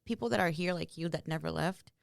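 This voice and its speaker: Low gravelly woman, emphatic. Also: quick pace, dry recording, studio quality. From Brazil. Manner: emphatic, filler-heavy. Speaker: podcast co-host. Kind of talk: casual chat.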